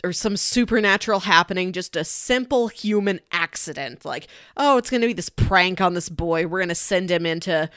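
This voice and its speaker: A woman's voice: lowish voice, normal speed, few pauses, a bit bright, clean tone, no room sound, clean studio sound. A woman casually discussing a movie as a podcast host, talking through her opinions.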